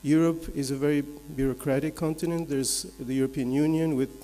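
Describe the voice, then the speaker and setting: A man from Belgium, giving a measured, explanatory slide presentation as a conference speaker, point by point. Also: fairly high pitch, fairly even intonation, dark tone, faint music behind. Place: large conference hall. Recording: echoey hall with long reverb.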